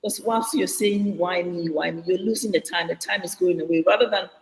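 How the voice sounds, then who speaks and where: A woman's voice: lowish voice, fairly quick, long reverb tail, a little hiss or hum, poor audio. An older woman from Ghana, talking earnestly and reflectively as an interview guest over a video call, often restarting her sentences. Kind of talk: casual interview answers.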